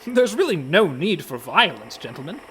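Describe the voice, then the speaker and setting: A man's voice: on the high side, very animated, moderate pace, a bit bright, a little hiss or hum. An actor playing a fretful, reluctant con man in a comic radio play, full of dry, indignant protests. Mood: alarmed. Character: anxious, reluctant young-sounding con man, male, indignant worrier.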